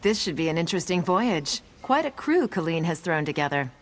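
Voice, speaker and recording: An actress playing a cool, self-assured seafaring adventuress in a video game. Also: female voice, on the low side, sing-song, brisk. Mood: confident. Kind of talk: video game character dialogue.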